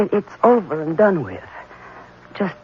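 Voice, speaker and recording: A woman playing a tender, anxious young fiancée in an old-time radio drama, speaking gently in halting, earnest phrases. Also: on the low side, big pitch swings. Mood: soothing, tender. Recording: muffled, noisy old radio broadcast recording.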